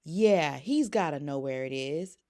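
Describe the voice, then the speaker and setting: A woman voicing excitable, scheming male plush carnival toys in a storybook, with a boastful, theatrical delivery. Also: sits low, unhurried. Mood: eager.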